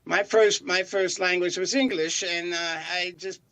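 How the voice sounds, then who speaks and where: Bright-voiced older man from Chicago. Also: high voice, fairly even intonation, unhurried. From Chicago, United States. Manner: rambling, many fillers and restarts. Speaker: singer guest. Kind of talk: interview answers.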